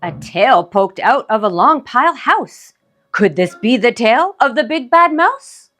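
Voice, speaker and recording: High-voiced woman reading a children's story. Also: faint music behind. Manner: expressive, deliberate. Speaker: children's read-aloud host. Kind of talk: storybook narration for young children.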